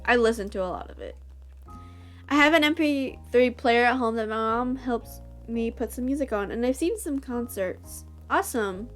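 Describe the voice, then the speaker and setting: A young woman with a stuffy nose chatting and giggling casually as she plays through a visual novel for her channel. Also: a little high. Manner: chatty, giggly, self-interrupting. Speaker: YouTuber reading a visual novel. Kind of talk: casual game playthrough commentary.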